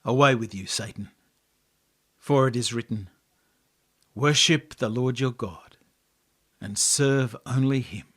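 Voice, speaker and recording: A man's voice: medium pitch, takes it slowly, long pauses, a bit bright, pitched below the performer's own voice. A man voicing a wild, resolute young holy man in a storybook, solemn and declamatory. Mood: solemn defiance.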